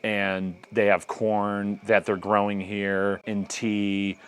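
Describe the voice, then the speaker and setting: A man's voice: fairly low pitch, completely flat delivery, slow, clean tone. An American man giving casual, chatty travel-vlog narration while out on a forest hiking trail, easygoing and full of fillers. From United States. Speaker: vlogger guide. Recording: music playing under the voice.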